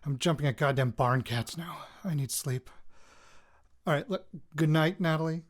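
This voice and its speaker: An actor playing a weary, jittery male radio reporter recording personal audio notes for a fiction podcast, in a halting, self-interrupting way. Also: fairly high pitch, lightly acted. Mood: shaken, tired.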